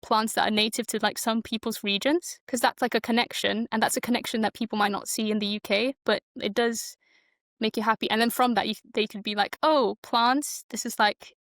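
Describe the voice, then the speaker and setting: A woman's voice: on the high side, fairly bright tone, no echo, studio quality. A young woman from Malaysia who moved to the UK as a child, speaking as a podcast guest in a casual, reflective, loosely structured way. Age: young adult. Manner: informal, loosely structured, thinking aloud.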